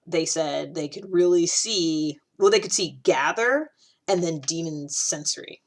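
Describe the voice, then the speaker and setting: A woman with a slightly low voice talks straight to the camera in an easygoing, rambling way. She circles back on her words and leans on lots of fillers as she explains. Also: a little slow. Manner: rambling, many fillers and restarts. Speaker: video host. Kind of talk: casual talk to camera.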